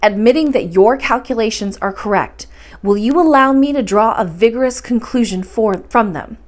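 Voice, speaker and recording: A woman's voice: fairly high pitch, lively intonation, normal speed, lightly acted. A woman voicing a cautious young nephew in a storybook, politely questioning and reasoning, respectful but doubtful.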